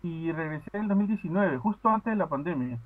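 A man's voice: fairly high pitch, lively intonation, fast talker. A man from Peru calling into a podcast, chatting casually with many fillers and restarts. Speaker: podcast caller. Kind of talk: casual chat. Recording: tinny phone line.

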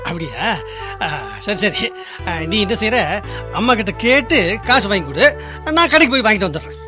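Timid husband, stammering and placating, an obedient son in a family radio drama. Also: male voice, sits high, big pitch swings, fairly quick, music in the background. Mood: complaining. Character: timid husband, obedient son who wavers before mother.

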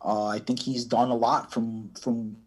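A man giving casual interview answers, halting and often restarting his phrases. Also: medium pitch, level tone, a little mellow, husky. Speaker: cannabis brand owner, podcast guest.

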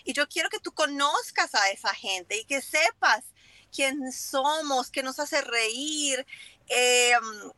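A woman with a high, crisp-edged voice speaks in a casual interview, recorded dry and close. She grew up with Italian and English, and her Spanish carries a foreign accent. She tells things in an animated way, dropping in English words. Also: slow, dry recording. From Italy and Germany. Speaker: interview guest, business owner.

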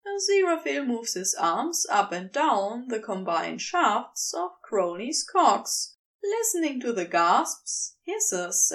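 A woman narrates fiction in an expressive, unhurried audiobook style. Her voice is fairly high and very melodic. She sounds a little distant from the microphone.

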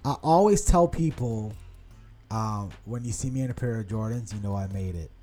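American man in his 30s, podcast co-host, warm relaxed tone, chatty rambling stories, faint music under it. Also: on the low side, moderate pace. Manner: rambling, animated storytelling with many restarts. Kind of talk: casual chat.

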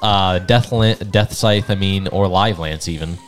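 A man's voice: low-pitched, unhurried. A man hosting a casual gaming podcast among friends, talking enthusiastically in slangy, profane language. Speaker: podcast host. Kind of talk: casual chat among friends, game ranking. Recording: background music under the voice.

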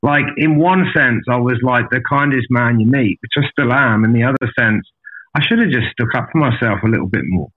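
A man's voice: medium pitch, brisk, narrow, tinny audio. A man telling his story as a podcast guest in a casual, candid, blunt way, with lots of swearing and matey address. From an island outside Australia.

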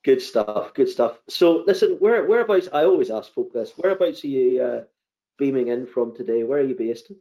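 A man's voice: medium pitch, expressive, moderate pace, lo-fi sound. A man hosting a pharmacy podcast, chatty and rambling, often repeating his words as he asks questions. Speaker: podcast host. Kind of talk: casual interview.

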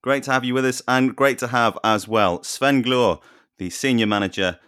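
A man's voice: mid-range voice, normal speed, a bit bright, smooth, dry recording, clean studio sound. A man hosting an interview, introducing guests and asking questions in a friendly, chatty way. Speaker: interview host.

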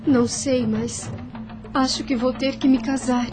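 An actress playing a meek, sweet young Brazilian heiress in a radio drama, speaking gently and formally. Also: female voice, high-pitched, flat delivery, unhurried, over music. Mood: anxious.

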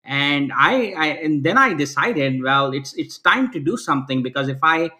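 Bright-voiced man explaining earnestly. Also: on the high side, expressive, normal speed. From Pakistan. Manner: earnest, restarts often, ends points with "right?". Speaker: guest. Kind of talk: casual interview answers.

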